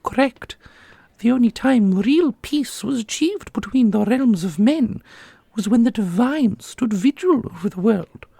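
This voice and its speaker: A woman playing a weary, solemn ancient divine guardian in an ASMR fantasy roleplay, soft and husky, with measured speech. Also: on the high side, lively intonation, no room sound, full character voice. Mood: grave.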